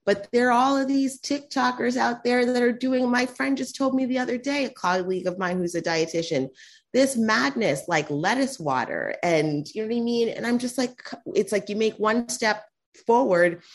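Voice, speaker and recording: A woman speaking as a guest in a conversational interview, often tagging her points with "right?" and "you know". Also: normal speed. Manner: animated, pitch jumps when quoting others.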